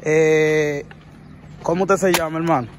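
A man's voice: on the high side, steady tone, unhurried, background noise. A man interviewing on the street, addressing viewers directly and repeating himself, recorded outdoors.

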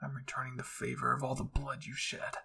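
A man voicing a livid, wounded young woman warrior out for revenge, menacing and deliberate, in a story reading. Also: medium pitch, completely flat delivery, gravelly, pushed and bright, husky, exaggerated voice. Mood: cold, vengeful.

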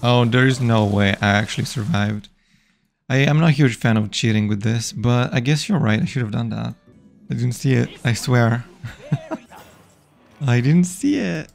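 A man's voice: mid-range voice, a little slow, creaky, faint music behind. A man streaming a digital card game live, playfully chatting with his opponents and viewers.

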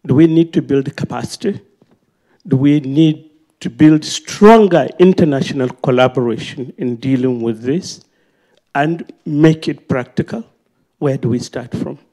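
A man's voice: medium pitch, unhurried, mellow. A man with a Nigerian accent putting a question at a public panel event, speaking in an emphatic, speech-like manner. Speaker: audience member asking a question.

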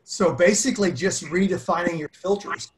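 A man's voice: high voice, normal speed, noisy background. A man hosting a video-call meetup panel, summarizing points and prompting guests to speak. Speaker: meetup host and moderator.

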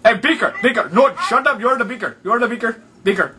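High-pitched pushy foreign prank caller. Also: male voice, fast talker, background noise. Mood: exasperated, insistent. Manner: indignant, repetitive broken English without contractions. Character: pushy, absurd, crude foreign male phone caller. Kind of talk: improvised prank phone call.

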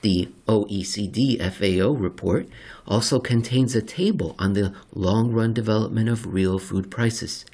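A man with a slightly husky voice narrates a written article in a deliberate, measured way with dramatic pauses. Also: on the low side, a little mellow. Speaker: narrator reading an article aloud. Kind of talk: scripted narration of an economics article.

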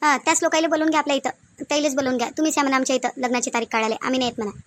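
A sharp-tongued village mother-in-law from a family cartoon comedy, acted by a woman. She speaks very high and quickly in a Varhadi Marathi accent. She is bossy and suspicious, scolding and insisting. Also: fairly even intonation, a little hiss or hum. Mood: bossy, grumbling. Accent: Varhadi (Vidarbha) Marathi.

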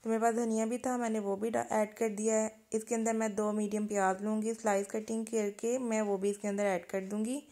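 A woman's voice: medium pitch, a little mellow. A woman with a Pakistani Urdu accent, narrating a home recipe step by step as a cooking channel host. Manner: plain step-by-step instructions with kitchen tips.

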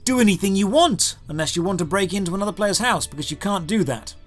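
A man with a noticeably high voice narrates a scripted game review. His delivery is sardonic, with dry deadpan humour. Music plays clearly behind him as he speaks close to the microphone. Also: quick pace. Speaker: video game reviewer, host. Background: clear background music.

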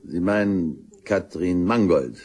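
Composed, husky police inspector. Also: male voice, sits low, animated, takes it slowly, a little mellow, exaggerated voice. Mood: patient. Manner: terse, formal, polite. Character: composed, patient male police inspector taking statements. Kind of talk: German crime radio drama acting.

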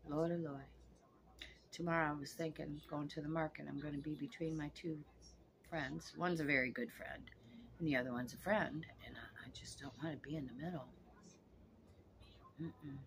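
A woman's voice: low voice, fairly even intonation, normal speed, pauses often, a little hiss or hum, rough recording. Blunt foul-mouthed woman in her sixties. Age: 60s. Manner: blunt, frank and profane, talks straight to camera. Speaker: vlogger. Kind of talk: casual vlog monologue. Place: small home room, seated on a couch.

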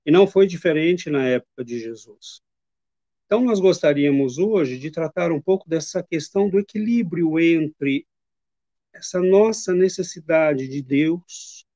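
A middle-aged man gives an earnest, reflective talk over a webcam call, his voice a little high for a man but mellow and warm. The sound is dry and slightly muffled, like lo-fi video call audio from a home study. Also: dry recording. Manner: measured, earnest, reflective delivery with pauses. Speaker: spiritist lecturer. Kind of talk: devotional lecture.

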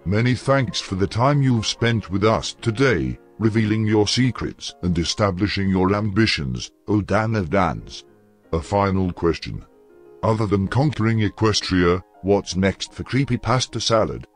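A man's voice: on the low side, lively intonation, a little slow. A man reading scripted interview questions in a formal, ornate manner. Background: music behind the voice.

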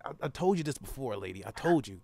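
A young man making an improvised prank phone call as the caller, rambling insistently and repeating himself in a gravelly voice. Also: medium pitch, big pitch swings, fast talker, warm tone.

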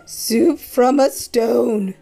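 A woman's voice: fairly high pitch, gravelly, warm tone, full character voice. A woman voicing an easily amazed little old lady from a storybook, drawing out her exclamations. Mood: delighted, amazed. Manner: drawn-out, exclaiming delivery.

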